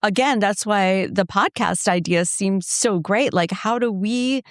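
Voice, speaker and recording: A woman co-hosting a casual podcast conversation, effusive and bubbly, gushing with warmth about her partner. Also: medium pitch, a little slow, no echo, clean studio sound. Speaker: podcast co-host.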